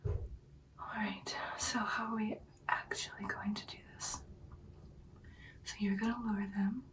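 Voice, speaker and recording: Whispering vampire girlfriend, played by a woman, slow and intimate. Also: mid-range voice, level tone, recorded from a distance, close to an everyday voice, low-quality recording. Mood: reassured, loyal. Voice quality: breathy whisper. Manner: soft, halting, deliberate, intimate. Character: devoted, hesitant female vampire girlfriend plotting with her werewolf lover. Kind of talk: ASMR roleplay.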